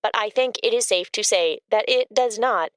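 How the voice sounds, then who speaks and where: A woman giving scripted facts as a co-host, precise and deadpan. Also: mid-range voice, moderate pace, clear, resonant tone, no room sound. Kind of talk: scripted informational asides.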